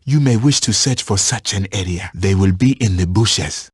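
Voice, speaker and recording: An actor playing a patient, watchful African safari tracker in a video game, guiding a hunter in measured, instructive phrases. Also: male voice, sits low, breathy edge, dry recording, clean studio sound. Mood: calm, instructive. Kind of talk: scripted video game voice lines.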